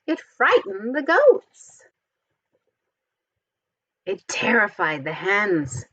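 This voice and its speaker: A woman reading a storybook aloud to young children, expressively and with deliberate, careful enunciation. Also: mid-range voice, wildly swooping pitch, pushed and bright, breathy edge, no echo, dull audio. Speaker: storybook reader.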